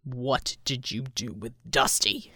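Woman voicing a gruff, deep, angry male superhero. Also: takes it slowly, pushed and bright, dry recording, studio quality, exaggerated voice. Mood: gruff, demanding.

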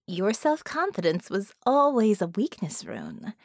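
A woman's voice: medium pitch, wildly swooping pitch, measured pace, creaky, dry recording, full character voice. A woman voicing a cool, dry-witted, manipulative captive woman in an audiobook, speaking with control. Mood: cool, disdainful.

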